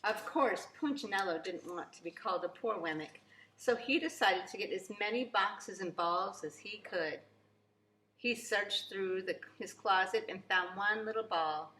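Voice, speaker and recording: Female storybook reader for young children. Bright, crisp-edged delivery, emphatic and expressive. Roomy sound with faint noise. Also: medium pitch, measured pace. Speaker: preschool teacher reading aloud. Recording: echoey room, faint background noise.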